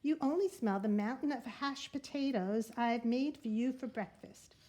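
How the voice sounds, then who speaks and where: A woman's voice: moderate pace, close to an everyday voice. A woman voicing a bossy giantess who scolds her husband in a storybook reading. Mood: scolding. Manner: sharp scolding, higher pleas.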